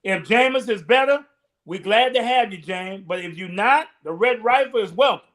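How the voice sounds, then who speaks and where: A man's voice: sits high, expressive, normal speed, a bit bright. A man hosting a casual sports chat, playful and affectionate with his co-hosts as he signs off. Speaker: podcast host.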